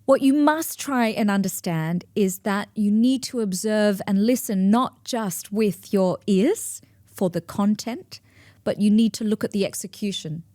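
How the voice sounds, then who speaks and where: A woman in her forties, hosting her own show and explaining ideas to listeners in a lively, expressive way. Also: mid-range voice, measured pace, no room sound, studio quality. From grew up between East and West, in Australia. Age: 40s. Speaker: podcast host and speaker. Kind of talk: solo talk to listeners.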